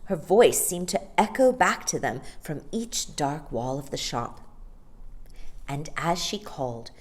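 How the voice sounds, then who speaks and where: A woman with a slightly low voice narrates a children's storybook. Her delivery is expressive, with pitch that moves widely through each sentence. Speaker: storytime reader.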